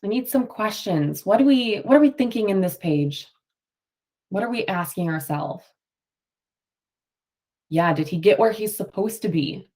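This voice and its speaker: Female teacher, unhurried delivery, read-aloud storytelling mixed with instructional prompts for young pupils. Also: medium pitch, expressive, a little mellow. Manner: instructional, prompting and affirming answers. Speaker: teacher reading aloud to children. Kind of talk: picture-book read-aloud with questions to a class.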